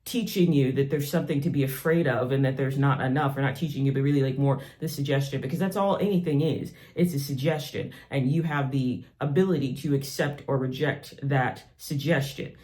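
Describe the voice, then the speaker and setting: A man with a Southern US accent talks as a spiritual teacher in a loose, conversational monologue. His voice sits at an average pitch. He sounds earnest and reflective as he explains his ideas. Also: a little mellow. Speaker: spiritual teacher and channel host.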